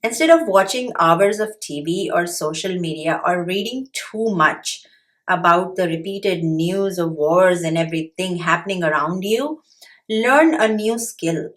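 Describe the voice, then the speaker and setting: A woman's voice: fairly low pitch, expressive, a bit bright. A middle-aged woman from India with an Indian accent, explaining personal finance straight to camera in an earnest, patient way. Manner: earnest, explanatory, with occasional pauses and fillers. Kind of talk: direct-to-camera talk.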